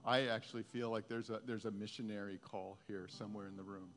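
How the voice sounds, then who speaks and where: A pastor leading a prophetic prayer time, speaking softly and haltingly, repeating his words. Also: on the low side, fairly even intonation. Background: guitar music.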